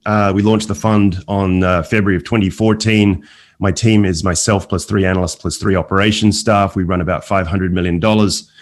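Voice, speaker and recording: Deep-voiced Australian-accented man presenting. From Malaysia, later Australia. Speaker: investment fund founder and guest speaker. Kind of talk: conference presentation with slides. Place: remote video call from New York.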